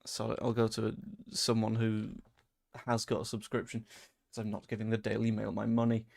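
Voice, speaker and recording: Chatty man with softened Yorkshire accent. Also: on the low side, monotone, moderate pace, vocal fry. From Leeds, England. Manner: chatty, rambling, dry asides. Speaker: fan channel host. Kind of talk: casual live-stream chat reading comments.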